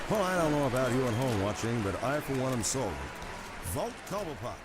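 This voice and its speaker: Male actor as brisk news reporter, mid-pitched, easily swayed, loud outdoor noise behind, audio drama. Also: very animated, close to an everyday voice. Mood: wry, won over.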